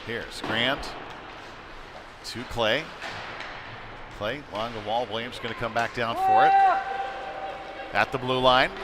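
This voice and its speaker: A man giving conversational live play-by-play commentary of a youth hockey game, ready to break into excited calls. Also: medium pitch, takes it slowly, pauses often. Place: indoor ice rink during a game. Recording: clearly noisy sports rink behind voice.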